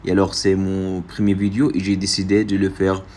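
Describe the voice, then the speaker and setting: A man from Saudi Arabia whose first language is Arabic, introducing his video in French with an Arabic accent, halting and apologetic. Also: low voice, monotone, darkish tone, a little hiss or hum. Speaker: vlogger.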